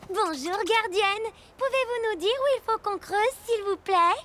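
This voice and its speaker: A performer voicing an excitable, adventurous little pirate girl captain in a children's cartoon, exclamatory, bossy and playful. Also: very high voice, measured pace, very noisy. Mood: excited, curious. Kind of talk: children's animated cartoon acting. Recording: loud cartoon music behind the voice.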